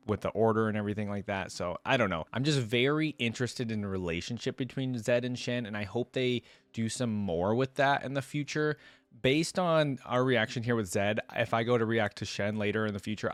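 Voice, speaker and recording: A man's voice: fairly low pitch, no room sound. A man hosting a reaction video, talking casually to viewers in a rambling, conversational way as he mulls things over.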